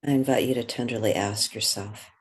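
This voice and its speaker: A man leading a guided meditation in a gentle, unhurried way, with long pauses between phrases. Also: lowish voice, fairly even intonation, husky.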